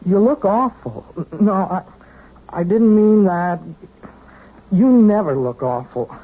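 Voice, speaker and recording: An actor playing a moody, self-deprecating, lovesick young piano student in a radio drama, halting and earnest. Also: male voice, sits high, animated, husky, narrow, tinny audio, heavily acted. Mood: earnest, yearning. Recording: thin, band-limited old radio recording.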